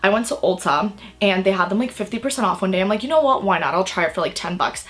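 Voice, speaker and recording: A young woman vlogging about makeup she bought, her voice raspy and hoarse from a cold, chatting in a bubbly, rambling way. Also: mid-range voice, animated, quick pace, fairly bright tone. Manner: bubbly, rambling, lots of "like" and "really, really". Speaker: beauty vlogger. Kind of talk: casual chatty haul video. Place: indoors under filming lights.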